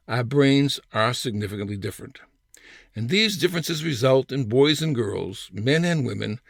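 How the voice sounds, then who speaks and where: Older guy reading his funny personal essay, wry, lots of fry in the voice, dry close recording. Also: mid-range voice, dry recording. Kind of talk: scripted humorous personal storytelling.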